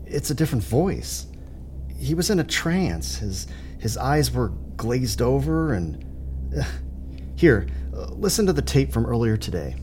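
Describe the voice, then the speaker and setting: An actor playing a composed male psychiatrist in an audio drama, speaking in a measured, reassuring and gentle way. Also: medium pitch, sing-song, a little hiss or hum. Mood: serious, measured.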